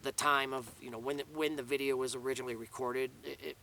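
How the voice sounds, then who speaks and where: A man's voice: mid-range voice, steady tone, quick pace, pushed and bright, recorded from a distance, a little hiss or hum. A man giving a casual, rambling video update outdoors in the mountains, restarting his sentences often. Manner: rambling, many restarts and fillers. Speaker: vlogger. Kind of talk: casual spoken update to camera.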